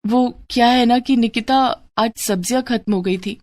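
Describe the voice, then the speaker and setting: A woman's voice: on the high side, moderate pace, darkish tone, dry recording, pitched above the performer's own voice, close to an everyday voice. A woman voicing a young newlywed daughter-in-law in a moral-story cartoon, polite, hurt and pleading. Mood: meek, defensive.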